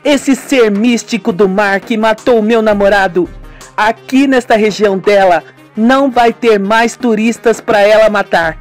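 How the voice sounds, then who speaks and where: A man voicing a furious, grieving young woman tourist who shouts defiantly, in an amateur radio novela. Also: mid-range voice, a little slow, faint music behind, close to an everyday voice. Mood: furious defiance.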